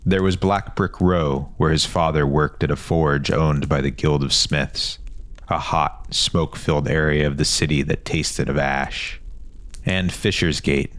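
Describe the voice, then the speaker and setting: Very deep-voiced man narrating fantasy. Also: fairly even intonation, a little slow, a bit bright, dry recording. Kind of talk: scripted fantasy audiobook narration.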